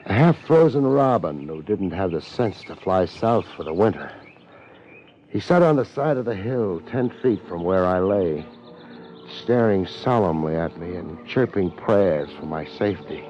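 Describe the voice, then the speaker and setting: A man's voice: deep voice, lively intonation, a little slow, a little mellow, a little hiss or hum. A man playing a wry, laid-back but tough private detective in 1950s radio drama, speaking in wisecracking slang. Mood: wry narrating. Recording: muffled old radio recording, music behind.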